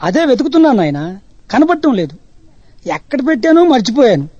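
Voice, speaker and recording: A gentle, forgetful old man mumbles along slowly and mildly, lost in his own confusion, as a man plays him. His voice sits high and dry, close to the mic. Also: big pitch swings, no room sound, muffled recording, heavily acted. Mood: muddled. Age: older. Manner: slow, mild, bewildered.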